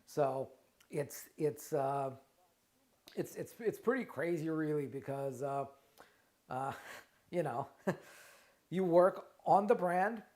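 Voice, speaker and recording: A middle-aged man with a Russian accent talks through a live question-and-answer stream, his voice sitting a little high for a man. He speaks conversationally, often restarting and repeating words and checking in with "right?". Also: expressive, slow, pauses often, a little mellow. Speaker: trademark lawyer and company founder, show host. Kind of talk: live Q&A stream, casual talk.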